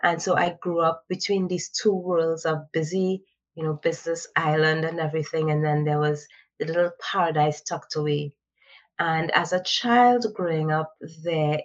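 Low-voiced woman from Trinidad. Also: lo-fi sound. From Trinidad and Tobago. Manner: reflective, chatty, frequent "you know" fillers. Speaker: podcast guest. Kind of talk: conversational interview answers.

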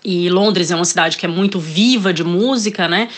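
A woman's voice: fairly low pitch, normal speed. Hesitant Northeastern Brazilian woman. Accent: Northeastern Brazilian Portuguese. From Ceará, Northeast Brazil. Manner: hesitant, many fillers and restarts. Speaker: guest. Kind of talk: casual interview, reading passages aloud.

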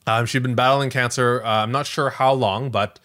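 A man's voice: medium pitch, fairly even intonation, moderate pace, fairly bright tone. A man hosting a podcast in a casual chat style, conversational and often hedging as he thinks aloud. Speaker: podcast host.

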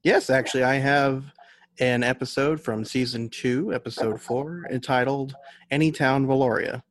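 A man's voice: medium pitch, normal speed. A man from the United States speaking casually as a writer being interviewed. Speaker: guest, audio drama writer.

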